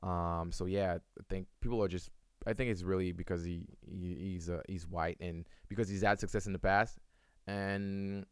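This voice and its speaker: A young man, a native French speaker, talks casually to his chat in a low, smooth voice. He rambles, full of ums and restarts. The sound is close and dry. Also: steady tone, moderate pace, no room sound. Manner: rambling, many fillers and restarts. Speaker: streamer answering chat. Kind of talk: casual live-stream Q&A.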